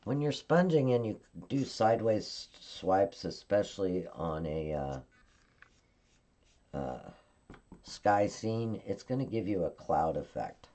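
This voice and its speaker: A woman at her craft table giving a calm, step-by-step card-making tutorial while she works. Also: a bass voice, slow pace. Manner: calm, instructive, narrating her hands-on work.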